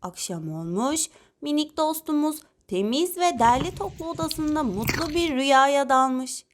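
High-voiced woman narrating for young children. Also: expressive, measured pace, background noise. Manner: addresses young children, instructive. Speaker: narrator and channel presenter. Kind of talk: scripted children's story narration.

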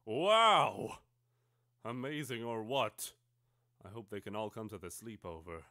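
A man voicing a melodramatic twelve-year-old schoolgirl confiding in her diary, theatrical and overwrought, swinging between hushed and exclaiming. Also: lowish voice, big pitch swings, a little slow, no room sound, studio quality, exaggerated voice. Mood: excited. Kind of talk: theatrical dramatic reading of a teenage diary.

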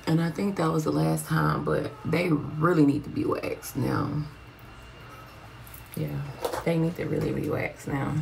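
A woman's voice: low-pitched, lively intonation, measured pace, gravelly, darkish tone. A woman vlogger chatting casually to the camera, calling viewers y'all. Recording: noisy background.

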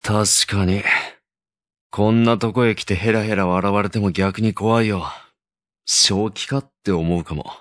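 A man's voice: on the low side, steady tone, unhurried, no room sound, clean studio sound, natural voice. A man playing a blunt, prickly young delinquent with a curt manner in a romantic audio drama. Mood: cool.

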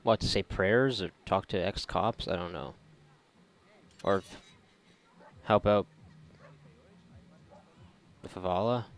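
A man's voice: deep voice, slow, creaky, long pauses. A man giving casual, irreverent gameplay commentary, sarcastic and prone to muttering and swearing. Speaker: gaming video commentator.

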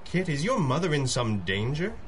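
A man's voice: fairly high pitch, very animated, normal speed, a little hiss or hum. An actor playing a composed male masked superhero in a radio drama, speaking in a dry, teasing, articulate way. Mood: concerned. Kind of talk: old-time radio drama acting.

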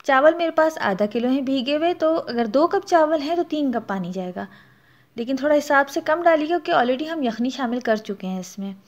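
A woman's voice: sits high, animated, a little fast, dull audio. A woman with a Pakistani Urdu accent hosting a cooking channel, narrating a recipe step by step and adding practical tips. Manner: explanatory, instructive, gives practical tips.

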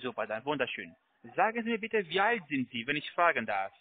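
A man who is a non-native German speaker, politely and effusively working through a sales pitch as a product adviser. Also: very animated, a little fast. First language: not German. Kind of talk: semi-scripted sales phone call. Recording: tinny narrowband phone line.

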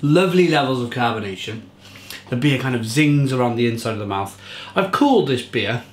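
A man's voice: medium pitch, animated, a little fast, a little hiss or hum. Chatty man reviewing beer in echoey kitchen. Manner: chatty, enthusiastic, restarts and fillers. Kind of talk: casual review to camera. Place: home kitchen indoors. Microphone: fixed camera at table.

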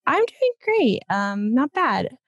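A woman's voice: sits high, sing-song, slow, no room sound, highs cut off. A woman hosting a podcast, interviewing in a casual conversational style. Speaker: podcast host.

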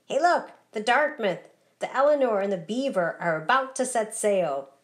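A woman voicing an excitable little mouse with exclamatory, animated delivery in a children's picture book. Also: on the high side, moderate pace, lightly acted. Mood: excited. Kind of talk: children's picture book read-aloud dialogue.

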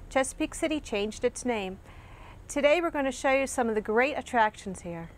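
A middle-aged American woman hosting a scripted travel piece to camera, speaking clearly and deliberately. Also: high-pitched, fairly bright tone, slight background noise. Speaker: host, librarian. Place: outdoors in a small waterfront town.

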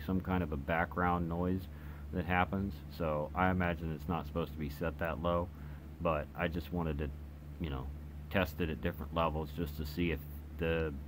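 Male hobby reviewer, extremely low voice, casual and hesitant, home video with clear noise. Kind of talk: casual product demonstration. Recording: noisy home video recording. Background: clear background noise.

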